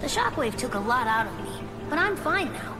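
A woman's voice: high voice, sing-song, brisk, background noise. A woman voicing a small, earnest, brave young male fox sidekick in a video game cutscene. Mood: concerned. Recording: loud music behind the voice.

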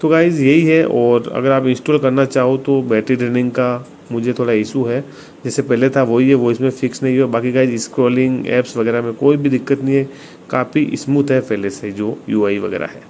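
Indian guy doing a casual phone review, mid pitch, chatty to viewers, bit of background noise. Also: steady tone, a little fast, darkish tone. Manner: repetitive, informal, keeps addressing viewers. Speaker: tech reviewer, video host. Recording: clearly noisy room recording.

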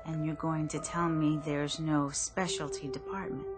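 An actress playing a troubled, bewildered female customer in a radio drama, speaking haltingly as if unsure of her own mind. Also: sits low, level tone, over music, natural voice.

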